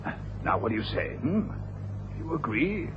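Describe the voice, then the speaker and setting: Man playing conciliatory middle-aged gent, hesitant, anxious, animated, radio drama, muffled faintly noisy broadcast. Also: mid-range voice, moderate pace, lightly acted. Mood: placating. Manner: hesitant, placating, repeats himself. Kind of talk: 1980s radio drama acting. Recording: dull old radio broadcast, faint noise.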